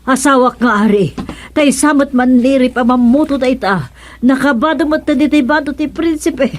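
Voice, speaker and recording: A woman plays a courtly, concerned queen in a radio drama. Her high voice carries a Filipino accent and a theatrical delivery. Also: moderate pace, natural voice. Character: concerned queen, wife of the comic king.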